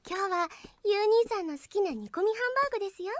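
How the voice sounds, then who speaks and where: An actress playing a polite, obsessive teenage little-sister type in an anime drama CD, gentle and chillingly calm in manner. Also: female voice, very high-pitched, quick pace, a little hiss or hum. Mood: polite, cheerful.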